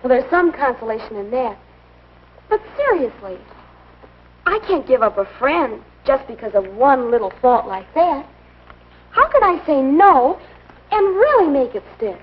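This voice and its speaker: A polite, sensible teenage girl in an old classroom film. Her voice is high and a little husky, with animated pitch. The soundtrack is dull and aged. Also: a little slow, heavily acted. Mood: earnest. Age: young adult. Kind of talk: 1950s instructional film acting.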